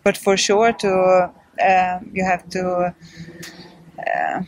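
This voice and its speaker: A woman's voice: fairly low pitch, level tone, drawn-out words, a little hiss or hum, poor audio. A young woman with a Swedish accent, talking casually with many hesitations and light laughs in an interview. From Sweden. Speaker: interviewed trail runner. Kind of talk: casual post-race interview.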